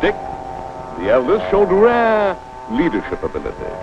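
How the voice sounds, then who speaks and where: An actor playing a pompous mock-heroic narrator of a 1940s cartoon, florid and theatrical. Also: male voice, high voice, wildly swooping pitch, normal speed, full character voice. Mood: grandly admiring. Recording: muffled 1940s film soundtrack, music behind.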